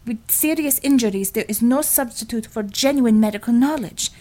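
A woman's voice: on the high side, normal speed, warm tone, natural voice. Woman playing an elegant, stern woman doctor. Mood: restrained anger. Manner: precise, scolding, restrained.

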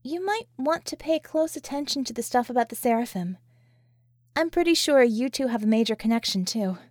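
A woman voicing a brisk, sassy but caring female friend in an audiobook, with breezy, slangy, bossy delivery. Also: fairly high pitch, animated, a little mellow, no echo, clean studio sound. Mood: brisk, instructing. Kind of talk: audiobook character dialogue.

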